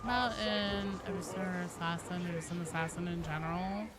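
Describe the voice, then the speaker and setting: A woman's voice: fairly low pitch, steady tone, moderate pace. A woman livestreaming a game, chatting casually and candidly with her viewers in an informal way, with the odd swear word. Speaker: game streamer. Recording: clear background noise.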